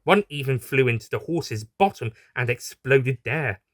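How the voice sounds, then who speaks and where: A man's voice: medium pitch, animated, moderate pace, fairly bright tone, no room sound. Man narrating a story, dry close sound.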